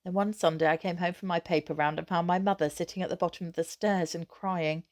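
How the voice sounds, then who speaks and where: Lower-pitched woman reading a storybook. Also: fairly even intonation, fast talker, no echo, clean studio sound. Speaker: storybook reader. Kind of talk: expressive scripted narration of a children's novel.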